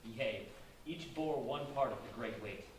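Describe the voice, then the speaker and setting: A man's voice: medium pitch, normal speed, lightly acted. A man playing the stern spirit of an English colonist, speaking formally and archaically in an amateur staged reading. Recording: very echoey hall, distant, faint noise.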